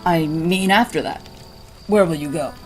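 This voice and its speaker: A man's voice: a little high, moderate pace, soft background music, natural voice. A man playing an earnest, humble traveling healer who speaks politely and formally in a fantasy audio drama. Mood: earnest, modest.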